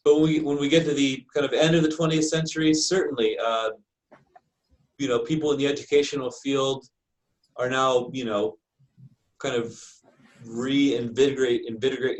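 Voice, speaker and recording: Man, American accent, university lecturer, classroom lecture style, medium pitch, hesitant delivery with frequent fillers. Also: rough recording. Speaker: university lecturer in special education.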